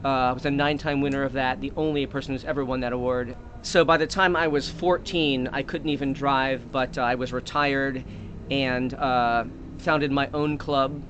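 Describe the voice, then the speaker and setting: Male comic storyteller, rambling and mock-earnest, mid-pitched, faint noise behind. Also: steady tone, normal speed, fairly bright tone, lo-fi sound. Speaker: comedic storyteller. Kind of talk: improvised comic monologue.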